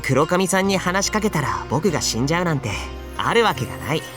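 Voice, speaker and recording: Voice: mid-range voice, sing-song, rapid-fire, a bit bright, soft background music. A performer playing an earnest, stubborn teenage boy transfer student in a Japanese visual novel. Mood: dismissive.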